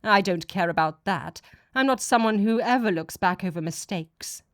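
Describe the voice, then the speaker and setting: A woman's voice: medium pitch, moderate pace, no room sound, close to an everyday voice. A menacing false god of an alien people, acted out by a woman for an audiobook. He is a cloaked tyrant who sneers and commands with open contempt. The sound is dry, with very little room. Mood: cold, commanding. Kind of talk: dramatic audiobook character voice.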